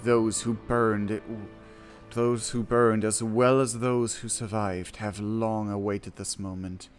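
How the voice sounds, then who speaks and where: A man streaming a game, reading quest text aloud and reacting casually, with excitable exclamations and hesitant, self-correcting commentary. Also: lowish voice. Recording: music behind the voice. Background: game music.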